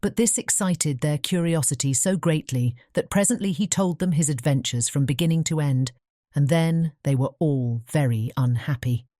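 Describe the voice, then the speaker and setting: A woman's voice: low voice, normal speed, mellow, no echo, studio quality. A woman narrating a fairy tale audiobook from a script.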